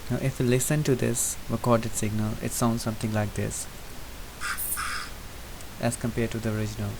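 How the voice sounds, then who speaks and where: Guy doing a step by step software tutorial, bit of vocal fry, hissy noisy mic. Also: medium pitch, normal speed. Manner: methodical, step-by-step explaining. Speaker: presenter demonstrating software. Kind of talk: unscripted software tutorial narration. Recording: hissy recording with steady background noise. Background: hiss.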